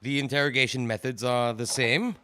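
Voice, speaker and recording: An actor playing a male prosecutor who questions a witness in a formal, probing way, with careful pauses. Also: mid-range voice, steady tone, bright, forceful tone, smooth, natural voice. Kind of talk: audio drama acting.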